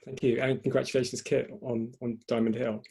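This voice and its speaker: A man hosts an online panel in a husky, creaky voice. His tone is soft-edged and mellow, and he feels his way through sentences, often doubling back on words. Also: medium pitch, level tone, brisk. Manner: hesitant, repeats words as he goes. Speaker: event host. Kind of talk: hosting an online panel discussion.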